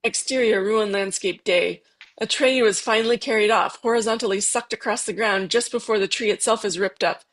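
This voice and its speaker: Mid-pitched woman reading stage directions. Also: fairly quick, a bit bright. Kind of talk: scripted narration at a table read.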